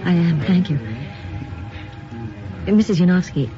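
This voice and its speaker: Actress doing brisk businesslike lady editor, radio drama, dull lo-fi sound, faint music behind. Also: lowish voice, natural voice. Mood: probing. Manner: direct, businesslike, demanding. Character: brisk, impatient, blunt female editor.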